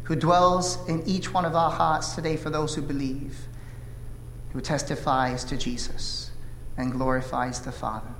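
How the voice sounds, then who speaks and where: A man in his thirties from South Korea, whose first language is Korean, preaching a sermon with an earnest, measured delivery and frequent "uh" fillers. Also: a little high, level tone, a bit bright. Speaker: pastor. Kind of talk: sermon with opening prayer. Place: church sanctuary. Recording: echoey hall reverb, faint room noise.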